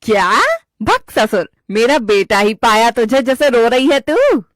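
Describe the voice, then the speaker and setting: A woman's voice: a little high, animated, a little slow, no room sound. A woman puts on an older village mother-in-law for a cartoon story. She has a rustic North Indian accent and scolds in a blunt, bossy, earthy way. The recording is very dry. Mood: scolding.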